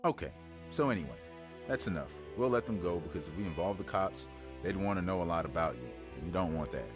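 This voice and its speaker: A man's voice: deep voice, lively intonation, fairly quick, music in the background. A man playing a nervous, nerdy young banker who turns gushing and eager. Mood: bossy. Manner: flustered, then gushing.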